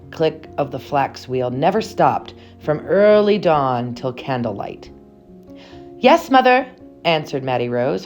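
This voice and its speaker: A woman narrating a children's picture book with expressive, dramatic and deliberate phrasing. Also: very deep voice. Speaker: read-aloud storyteller.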